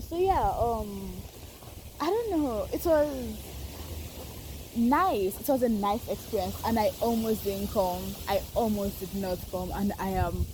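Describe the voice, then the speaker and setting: A woman with a Nigerian accent reflecting aloud in an unscripted, hesitant way, pausing to search for words. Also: medium pitch, background noise. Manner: thoughtful, hesitant, searching for words, soft laughs. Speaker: participant sharing reflections. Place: outdoors.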